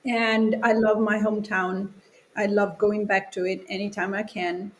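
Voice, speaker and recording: Italian-accented woman in her forties, halting phrasing. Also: medium pitch, flat delivery, a little hiss or hum. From Italy. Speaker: podcast guest, children's author. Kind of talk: conversational interview answers.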